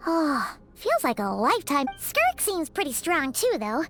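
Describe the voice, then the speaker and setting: Game sidekick: tiny chatty girl, very high squeaky voice, hugely animated delivery. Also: unhurried, exaggerated voice. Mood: musing. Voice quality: squeaky, childlike. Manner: exclamatory, chirpy, refers to herself in third person. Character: small floating childlike girl companion, excitable and chatty. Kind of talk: video game voice acting. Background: background music.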